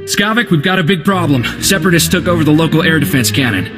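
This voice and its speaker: Game sidekick, young male gunslinger: folksy, excitable, sincere, played by a man, with a big score underneath. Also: a little high, quick pace, music in the background, close to an everyday voice. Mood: earnest, urgent. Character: Corso Riggs, earnest eager young male gunslinger. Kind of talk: video game cutscene acting.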